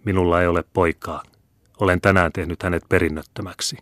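A man's voice: low voice, fairly even intonation, a bit bright, no room sound, studio quality. A man voicing a proud, wrathful old peasant patriarch who declaims sternly and thunders in anger.

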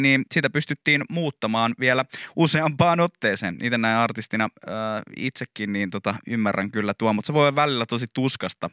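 A man's voice: mid-range voice, a bit bright, dry recording, clean studio sound. A man presenting a radio segment, chatty with small slips and fillers.

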